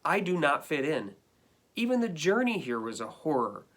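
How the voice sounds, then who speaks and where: A man's voice: a little high, sing-song, normal speed, fairly bright tone, voice pushed up. A man reading a storybook as an indignant, put-upon pet dog, complaining in a dramatic, emphatic way. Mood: aggrieved pleading. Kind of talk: storybook read-aloud.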